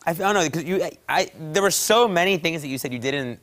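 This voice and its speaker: A man's voice: on the high side, expressive, very fast, a bit bright. Easygoing young male co-host. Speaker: morning show co-host. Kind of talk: casual studio banter. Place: TV studio set. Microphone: lapel mic.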